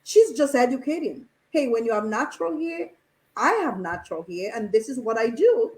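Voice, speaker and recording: A woman guest on a radio panel who runs an online shop, speaking with enthusiasm and many halting restarts. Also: fairly high pitch, lively intonation, darkish tone, poor audio.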